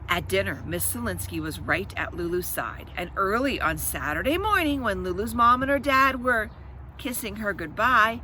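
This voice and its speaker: A woman reading a children's story aloud with expressive, dramatic emphasis and chatty asides to her listeners. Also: mid-range voice, normal speed, slight background noise.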